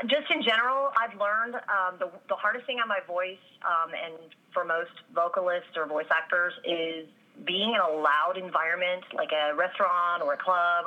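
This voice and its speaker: A low-pitched woman talks through her answers with a slight rasp, pausing on fillers and restarting her thoughts. The sound is dull and lo-fi, as if over a remote line. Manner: slightly raspy, many ums and restarts. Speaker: voice actress guest. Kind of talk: interview answers.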